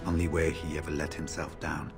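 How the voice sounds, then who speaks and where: A seasoned warden warrior in a fantasy role-playing game. His voice is deep and he speaks plainly, in a measured storytelling way. Soft music plays underneath. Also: level tone. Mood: reflective. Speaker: game voice actor. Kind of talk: fantasy RPG dialogue.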